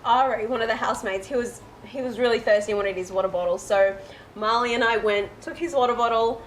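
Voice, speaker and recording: Bubbly high-voiced woman guest. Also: fast, a little hiss or hum, poor audio. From Israel. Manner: bubbly, laughs often, chatty. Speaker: guest, reality show runner-up. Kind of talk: casual interview chat.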